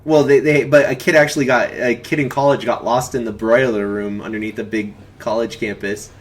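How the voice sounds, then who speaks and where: Laid back dude hosting a stream, rambling chatty talk, normal guy pitch. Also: steady tone, brisk. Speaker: host of a movie commentary live stream. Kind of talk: casual chat to viewers and caller.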